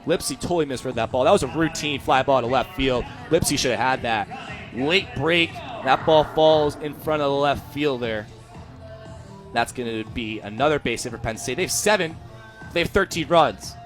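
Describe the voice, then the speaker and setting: A man with an American accent and a medium-pitched voice gives color commentary on baseball. He is emphatic and opinionated as he breaks down the game, with faint music behind him. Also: normal speed. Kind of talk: live baseball analysis commentary.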